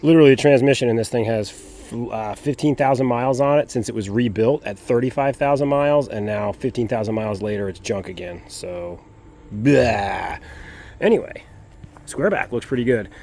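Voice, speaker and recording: A man's voice: medium pitch, moderate pace, darkish tone. A man chatting casually and informally to his viewers as the host of a car vlog, in a folksy way. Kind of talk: casual handheld vlog chat. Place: mostly outdoors, around vehicles, parking lot and property. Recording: noisy outdoor recording with vehicle sounds.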